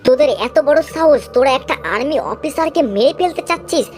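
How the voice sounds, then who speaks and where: A woman's voice: sits high, fast, faint music behind, lightly acted. A woman voicing a brave, vengeful young female army officer who protects others in a dramatic cartoon dub. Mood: fierce, protective.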